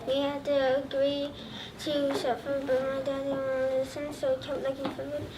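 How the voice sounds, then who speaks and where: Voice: high voice, monotone, moderate pace. A child of about eight or nine reciting a first-person school presentation as a historical figure. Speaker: third grade student presenter. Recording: distant mic, loud room noise.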